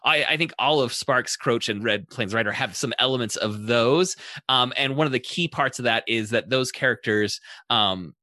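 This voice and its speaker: Bright, forceful man in casual discussion. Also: mid-range voice, moderate pace, no echo, clean studio sound. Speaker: podcast panelist. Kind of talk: casual analytical discussion of pop culture.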